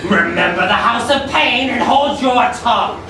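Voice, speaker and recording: A man playing a bossy, menacing butler with drawn-out, theatrical delivery in a campy live melodrama. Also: very high voice, lively intonation. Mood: stern. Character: bossy, scheming, menacing male butler servant. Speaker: actor in a staged reading. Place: live venue with audience. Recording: very echoey hall, distant mic, loud noise.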